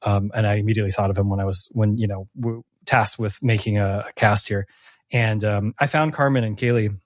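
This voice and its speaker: A man's voice: lowish voice, steady tone, a little fast, dry recording, clean studio sound. A man hosting a casual post-game chat as game master, speaking in an earnest, reflective way. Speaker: game master and host of a tabletop campaign podcast.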